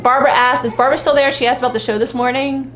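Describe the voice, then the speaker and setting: A woman's voice: high-pitched, steady tone, very fast, fairly bright tone, faint music behind. A woman co-hosting a fan vlog, chatting casually in a rambling, exclamatory way. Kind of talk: casual live chat recap.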